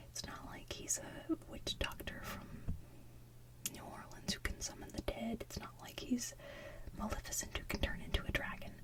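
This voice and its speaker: A woman hosting ASMR, chatting casually in a soft whisper like an enthusiastic fan who rambles as she goes. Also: on the high side, distant mic, rough recording. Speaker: ASMR video host.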